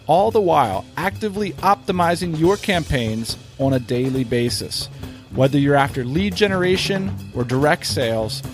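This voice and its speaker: A man hosting a podcast, reading prepared questions and promotional copy in a scripted style. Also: on the high side. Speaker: podcast host and interviewer. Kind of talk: scripted podcast interview questions and sponsor read.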